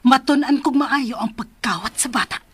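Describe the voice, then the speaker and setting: Sneaky villain lady, cebuano radio drama, breathy hushed muttering, dry sound. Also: high voice, normal speed, dry recording. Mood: scheming. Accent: Cebuano Filipino. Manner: hushed, brooding monologue. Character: scheming woman secretly plotting to steal a child. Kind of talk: Filipino radio drama acting.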